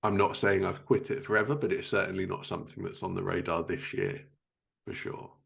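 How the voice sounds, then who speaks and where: A man's voice: low-pitched, fairly even intonation, brisk, vocal fry, fairly bright tone. A man hosting a casual podcast conversation about his work, speaking as a writer. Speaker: podcast host and fiction writer.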